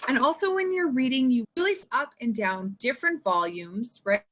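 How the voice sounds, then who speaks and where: A Canadian woman giving an informal instructional talk in an expressive, chatty way that tends to wander. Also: fairly high pitch, normal speed, lo-fi sound. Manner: animated, expressive, digressive. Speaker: teacher librarian and presenter.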